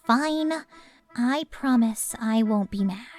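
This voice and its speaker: A woman's voice: a little high, mellow, exaggerated voice. A woman playing a stern but loving cartoon pony mother, with a dry, incredulous, scolding manner. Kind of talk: animated fan audio drama acting.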